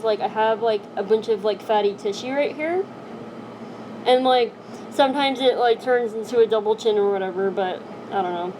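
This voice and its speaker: A young woman hosts a casual live stream from her home, her voice sitting a little high. She rambles and keeps dropping in "like" as she hunts for the words. Steady background noise runs under her voice the whole time. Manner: rambling, heavy use of "like" fillers.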